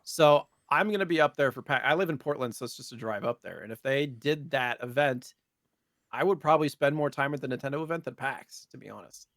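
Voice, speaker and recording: A man's voice: medium pitch, fairly quick, a bit bright, dry recording, studio quality. A man with an American accent in casual conversation, co-hosting a gaming podcast. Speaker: co-host of a retro gaming podcast. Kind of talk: casual chat about video games.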